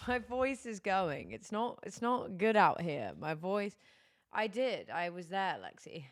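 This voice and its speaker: A tired young woman streaming, chatting casually with her chat, her voice going hoarse. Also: medium pitch, big pitch swings, slow. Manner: rambling, chatty, many fillers.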